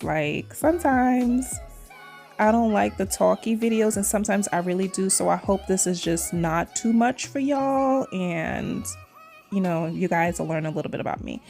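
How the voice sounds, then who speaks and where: Chatty Trinidadian-New York woman vlogger. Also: mid-range voice. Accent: Trinidadian mixed with New York. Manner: chatty, addresses viewers as cuties, says y'all. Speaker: nail vlogger. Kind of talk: casual chat while doing nails.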